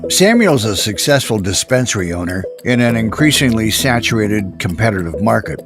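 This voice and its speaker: A man's voice: lowish voice, big pitch swings, normal speed. A man hosting a podcast with scripted narration. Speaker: podcast host. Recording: music playing behind the voice.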